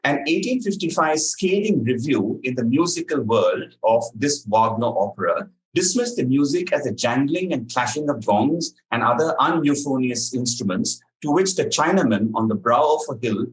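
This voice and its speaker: A man hosting a quiz, reading questions to contestants and explaining answers in a measured, articulate way. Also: medium pitch, animated, low-quality recording. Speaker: quiz master.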